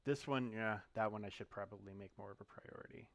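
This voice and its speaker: A man streaming a mobile game, thinking aloud in a relaxed, muttering way as he clicks through menus. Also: on the low side, brisk, dry recording. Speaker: gaming streamer. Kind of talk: casual live-stream commentary while playing.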